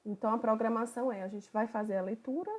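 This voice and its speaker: A woman from Bahia, a psychoanalyst who opens an online study group in a relaxed, conversational way. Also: fairly high pitch, fast talker. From Bahia, Brazil. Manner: relaxed, conversational, thinking aloud.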